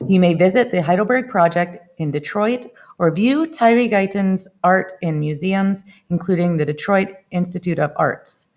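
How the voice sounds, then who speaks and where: A woman reading a picture book aloud as a storyteller, in an expressive, dramatic way. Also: fairly low pitch, muffled recording. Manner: dramatic, acts out exclamations. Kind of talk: expressive children's read-aloud.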